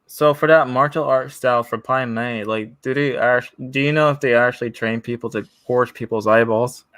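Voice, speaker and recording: A man's voice: medium pitch, fairly even intonation, moderate pace, a bit bright, clean tone, no echo. A man hosting a casual chat about martial arts movies, talking loosely with lots of fillers and asking questions. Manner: chatty, lots of "like", laughs easily. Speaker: host.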